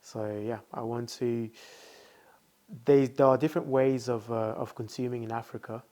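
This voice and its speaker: A man's voice: mid-range voice, fairly even intonation, normal speed, dark tone. A young man from France, a company founder, talking as a guest in a casual interview, thoughtful and hesitant, with many fillers.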